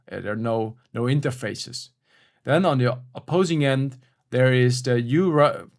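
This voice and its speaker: Reflective German-accented man. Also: mid-range voice. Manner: reflective, frank, with fillers and restatements. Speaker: academic writing coach. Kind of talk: casual explanatory talk.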